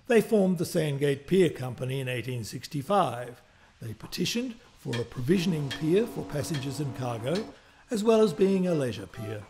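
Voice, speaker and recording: A man giving measured, scripted documentary narration. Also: a little high, very animated, darkish tone, slight background noise. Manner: measured, informative.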